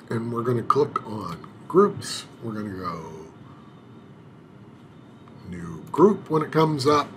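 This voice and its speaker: A man with a fairly low voice talks slowly through a computer walkthrough, stopping to hum and restart as he clicks along. A faint hiss sits under his voice at the desk mic. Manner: unhurried, hesitant, many filler sounds and restarts. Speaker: IT administrator demonstrating software setup. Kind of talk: screen-recorded tutorial walkthrough.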